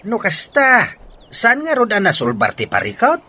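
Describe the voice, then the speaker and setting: A man's voice: high-pitched, huge pitch swings, moderate pace, sharp tone, slightly rough, full character voice. A man playing an exasperated family man in a radio drama, emphatic and questioning. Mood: concerned.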